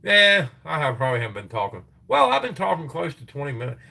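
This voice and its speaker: A middle-aged man hosting a casual livestream, reading sources aloud and chatting loosely with his viewers. His voice is getting tired and hoarse. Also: a little high, huge pitch swings, quick pace, pushed and bright. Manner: rambling, reads sources aloud, chats with viewers. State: voice tiring, gets hoarse. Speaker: livestream host.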